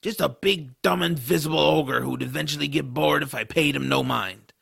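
Give this to a defiant troll, once a dark elf, now a bitter runaway slave. His voice is heavily gravelly with a sharp, forceful edge. He talks with sardonic indignation, as in a fantasy audio drama. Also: on the high side, normal speed, dry recording, studio quality, heavily acted. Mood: accusing. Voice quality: gravelly, rough. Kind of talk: audiodrama character acting.

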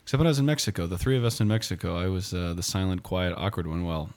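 A man's voice: deep voice, rapid-fire, darkish tone. A man in his thirties hosting a podcast as a priest, bantering and teasing his guests in casual chat. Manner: joking, teasing. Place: studio, sharing a microphone.

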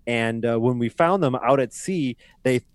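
A man's voice: medium pitch, moderate pace, dry recording. A man with an ordinary mid-range voice teases his co-hosts and laughs often in loose podcast chat. The sound is close and dry, with no room around it. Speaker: podcast co-host. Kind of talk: casual comedic chat.

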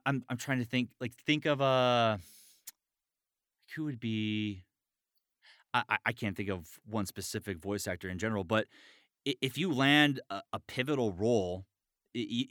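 Casting: male podcast host and voice actor, lower-pitched, forceful and bright, enthusiastic, freely swearing, informal. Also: dry recording, studio quality. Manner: enthusiastic, profane, encouraging. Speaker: interview show host and voice actor. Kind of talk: casual chat about voice acting.

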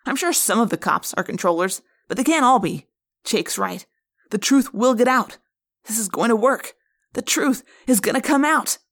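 A woman voicing a wisecracking teenage boy who speaks in a raised, lively voice. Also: on the high side, measured pace, few pauses, voice pushed up, full character voice. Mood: eager.

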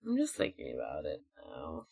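A woman's voice: a bass voice, wildly swooping pitch, moderate pace, creaky, warm tone, husky, dry recording, clean studio sound. A woman co-hosting a casual, joking discussion in an informal, amused and giggly way. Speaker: podcast co-host. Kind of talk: casual chat, joking commentary.

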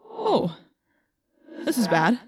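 A woman's voice: mid-range voice, wildly swooping pitch, extremely slow, darkish tone, breathy edge, exaggerated voice. A woman voicing an anxious boy, an ally in an audiobook story, speaking in an urgent, questioning way. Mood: alarmed.

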